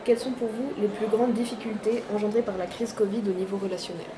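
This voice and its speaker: A woman's voice: mid-range voice, steady tone, fast, background noise. A woman interviewer reading out her questions.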